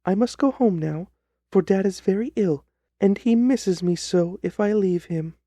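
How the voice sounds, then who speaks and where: A man voicing an anxious, pleading young gentlewoman in an audiobook story. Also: high voice, a little slow, no echo, pitched above the performer's own voice. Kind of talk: audiobook character reading.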